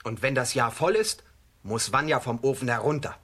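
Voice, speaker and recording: Menacing farm lad, tense husky voice, curt, surly, children's radio drama. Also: male voice, medium pitch, expressive, normal speed, fairly bright tone. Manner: curt, threatening. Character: surly, menacing grown peasant son. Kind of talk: German children's radio play acting.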